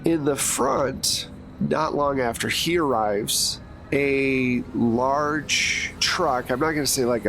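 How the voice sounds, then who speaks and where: Slow-talking man game master, noisy recording. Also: on the low side. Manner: relaxed, descriptive, frequent "like" fillers. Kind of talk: narrating a tabletop game. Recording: noisy background.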